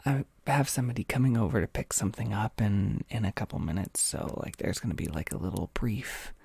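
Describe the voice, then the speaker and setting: An American man hosting a casual livestream, talking softly and hesitantly into a close microphone, a little short on sleep. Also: on the low side, animated, brisk, vocal fry, husky, no room sound. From United States. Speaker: livestream host.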